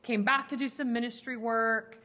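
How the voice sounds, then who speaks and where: A woman's voice: on the high side, steady tone, normal speed, sharp tone. A young American woman from Minnesota answering as an interview guest, talking reflectively in loose, stop-start conversational phrases. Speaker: podcast guest, ministry co-founder.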